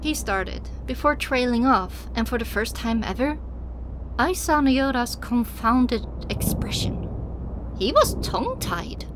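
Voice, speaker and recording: A woman's voice: on the high side, big pitch swings, a little slow, background noise. A woman reading first-person dramatic audiobook narration as a scripted story. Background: music.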